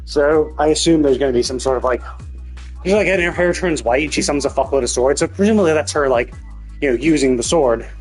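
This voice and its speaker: A man's voice: on the high side, quick pace, a little mellow, low-quality recording. A man streaming a game, giving casual, rambling commentary as he thinks aloud and swears freely. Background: game music.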